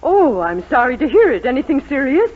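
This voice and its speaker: A very high-pitched, bossy matron of middle age, comes through a muffled old radio recording. She is tart, sarcastic and commanding in 1940s sitcom style. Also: very animated, brisk, heavy background noise. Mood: curt.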